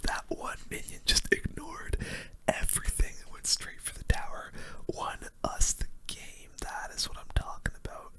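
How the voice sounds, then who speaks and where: Casting: ASMR gaming host, all whisper, very sharp breathy top end, casual slangy play-by-play. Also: normal speed. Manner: hushed, whispering throughout; casual gamer slang, chatty.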